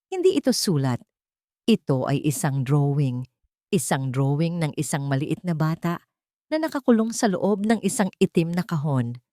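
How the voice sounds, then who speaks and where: A woman's voice: fairly low pitch, a little mellow, dry recording, clean studio sound. A woman narrating a scripted dramatic story as an audio drama narrator.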